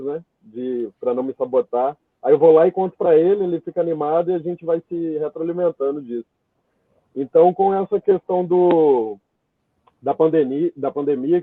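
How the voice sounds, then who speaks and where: A man with a Brazilian Portuguese accent giving an informal, halting interview answer as a guest, thinking aloud as he goes. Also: fairly high pitch, no room sound, phone-quality sound. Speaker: guest, theatre writer and director. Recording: thin, band-limited call audio, dry.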